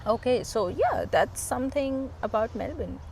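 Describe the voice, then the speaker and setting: A woman's voice: on the high side, animated, moderate pace, creaky, a little mellow, slight background noise, poor audio. A woman hosting a casual interview, encouraging her guest and agreeing often. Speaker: interviewer, host.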